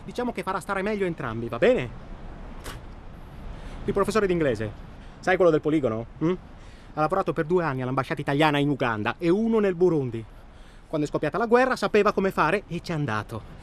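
A man's voice: a little high, expressive, a little fast, pauses often, natural voice. A man acting in a radio drama as a well-spoken, self-absorbed hunting traveller who talks insistently and at length. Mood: indignant, insistent. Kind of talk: naturalistic radio drama dialogue. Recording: clear ambient noise behind.

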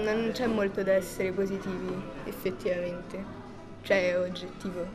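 Teenage girl, lowish pitch, monotone, casual interview answers, music behind. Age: teens. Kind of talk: casual, hesitant interview talk.

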